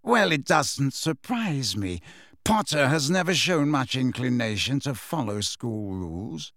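A man voicing a cold, disdainful middle-aged male professor who speaks slowly and smoothly in an audiobook reading. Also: mid-range voice, sing-song, fairly bright tone, no room sound, clean studio sound. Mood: coldly smooth.

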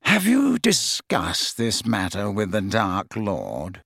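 Man playing Snape, smooth, disdainful double agent. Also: on the low side, wildly swooping pitch, a bit bright, no room sound, clean studio sound, voice pushed down, full character voice. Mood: measured, condescending. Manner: deliberate, smooth, impatient, sarcastic. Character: cold, smooth, condescending male double-agent wizard.

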